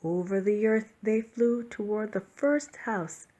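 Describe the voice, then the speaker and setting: Woman reading a bedtime story, slowly. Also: mid-range voice, mellow, highs cut off. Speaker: storybook reader. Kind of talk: children's bedtime story narration.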